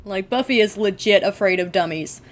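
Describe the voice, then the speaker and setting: A woman's voice: mid-range voice, fairly quick. Casual, slangy woman podcast co-host. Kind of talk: casual fan discussion.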